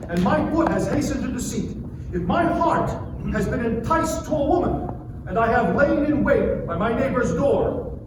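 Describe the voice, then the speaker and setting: A man in a dramatic scripture reading, playing a wronged sufferer who pleads and protests in an impassioned, declamatory way. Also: very high-pitched. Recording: very echoey, far-off mic, noisy live room.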